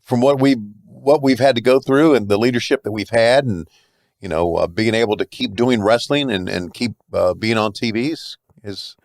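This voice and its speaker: A man's voice: medium pitch, normal speed, gravelly, no room sound, studio quality. A man hosting a casual interview, reading fan questions and chatting with the guest in a friendly way. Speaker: host.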